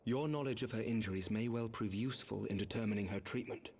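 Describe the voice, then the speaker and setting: A man's voice: fairly low pitch, fast talker, a little mellow, slight background noise, lightly acted. An actor playing a noble knight commander, formal, courteous and composed in his authority. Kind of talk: video game voice acting, dramatic dialogue. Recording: game music under the voice.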